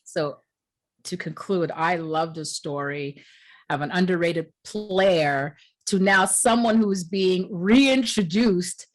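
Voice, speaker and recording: A woman's voice: lowish voice, slow. A woman hosting a casual interview, enthusiastic and affirming, often asking "you know what I mean". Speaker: podcast host.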